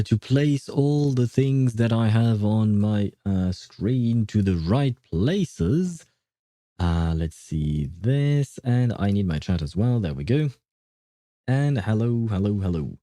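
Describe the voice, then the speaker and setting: A man hosting a livestream, chatting casually with viewers in a hesitant, halting way. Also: fairly low pitch, dark tone, dry recording, studio quality. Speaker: livestream host.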